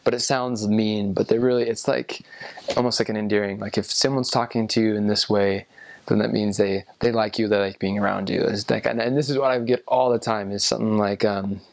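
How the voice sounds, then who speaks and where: Low gravelly young man, rambling. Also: fairly even intonation, no room sound. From United States. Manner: rambling, halting, with many ums. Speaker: returned missionary. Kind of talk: casual talk to camera.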